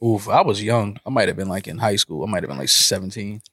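A man's voice: on the low side, quick pace, husky, no room sound, studio quality. Nasal, congested man chatting on a podcast. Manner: animated storyteller who laughs often and swears casually. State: a little nasal from a cold. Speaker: podcast co-host. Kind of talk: casual chat.

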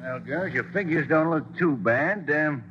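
An actor playing a brusque, leering theatre manager in a 1940s radio drama, recorded on a dull old broadcast. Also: male voice, fairly high pitch, lively intonation, a little slow, over loud music. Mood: gruff. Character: gruff theatre manager Mister Waller, middle-aged man, bossy.

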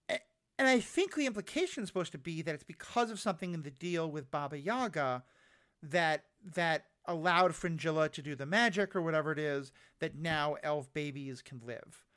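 A man's voice: on the high side, expressive, normal speed, bright, forceful tone, clear, resonant tone. A man with an American accent, co-hosting a podcast and casually discussing a TV show. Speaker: podcast co-host.

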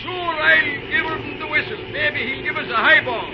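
An actor playing a brash, boastful Irish railroad engineer in an old-time radio drama, blustering and scolding with an Irish accent. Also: male voice, very high voice, moderate pace, a loud crowd. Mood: eager, bossy. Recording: muffled, noisy old radio recording.

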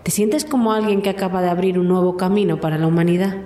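A woman's voice: lowish voice, steady tone, quick pace, dark tone, lightly acted. A woman playing an eager, earnest television reporter putting a question in an audio drama. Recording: roomy echo, slight background noise.

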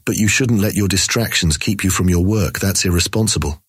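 A man's voice: deep voice, brisk, husky, no room sound, clean studio sound. An actor voicing an officious, suspicious male knight, drily disapproving, in a fantasy audio drama.

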